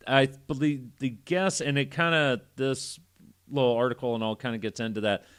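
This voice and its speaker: A man's voice: medium pitch, fairly even intonation, fairly quick, fairly bright tone, smooth. A man hosting a casual podcast, reading a story aloud with irreverent, foul-mouthed joking asides. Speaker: podcast host.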